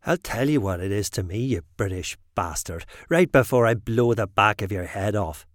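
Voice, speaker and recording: A man's voice: on the low side, animated, moderate pace, no room sound, clean studio sound, pitched below the performer's own voice, lightly acted. A man doing a hissing, menacing gunman. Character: menacing armed man, cold and threatening.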